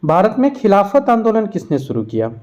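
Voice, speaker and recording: Mellow, higher-pitched man teaching. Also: huge pitch swings, brisk. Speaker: teacher, exam-prep instructor. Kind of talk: question-and-answer history lesson, explanatory. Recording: dull, muffled lo-fi sound.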